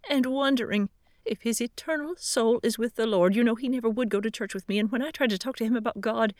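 A woman voicing a grieving older widow who speaks quickly and earnestly through tears. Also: a little high, no echo, studio quality, voice pushed up, close to an everyday voice. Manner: quick, earnest, tearful questioning.

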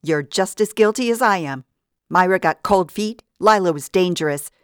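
A woman narrator voicing Vida, a vengeful murderous woman who shouts and shrieks. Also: expressive, normal speed, smooth, no room sound, studio quality, natural voice. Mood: furious. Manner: shrill, furious, shouting.

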